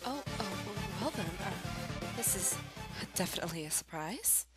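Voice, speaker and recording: Woman playing a low-voiced gentle motherly monster. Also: expressive, moderate pace, lightly acted. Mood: flustered. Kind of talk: comic dub voice acting. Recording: music playing behind.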